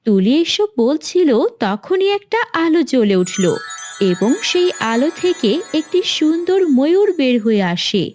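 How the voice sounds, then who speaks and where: Female narrator for a children's fable: high voice, very animated intonation, scripted storytelling. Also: a little slow, soft background music.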